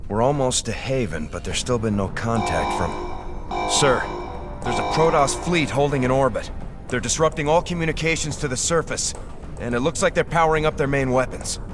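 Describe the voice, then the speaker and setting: A man playing a dutiful, wary starship officer in a video game, crisp and businesslike. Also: mid-range voice, normal speed, over music, close to an everyday voice. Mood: alert, urgent. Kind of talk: video game cutscene acting.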